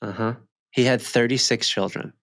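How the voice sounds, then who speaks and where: A man's voice: mid-range voice, fairly even intonation, normal speed, creaky, a bit bright, no echo, clean studio sound. A man in casual podcast conversation, speaking in a laid-back, slangy way. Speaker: podcast co-host. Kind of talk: casual chat.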